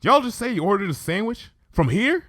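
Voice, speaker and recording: A man's voice: high-pitched, big pitch swings, brisk, dry recording, clean studio sound, voice pushed up, exaggerated voice. A man voicing an earnest, spooked young female country pony with a folksy Southern US drawl, in a cartoon fan-fiction reading. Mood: alarmed. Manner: folksy drawl, dramatic hushed warnings.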